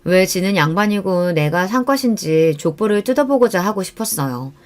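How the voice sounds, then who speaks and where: A woman's voice: medium pitch, lively intonation, normal speed, faint music behind. A woman reading a scripted first-person story in the style of a radio story show. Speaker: story narrator.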